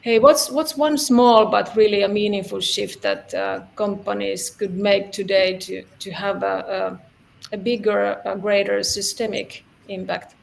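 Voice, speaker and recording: Gravelly-voiced woman podcast host. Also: medium pitch, unhurried, a little hiss or hum. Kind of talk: interview questions and chat.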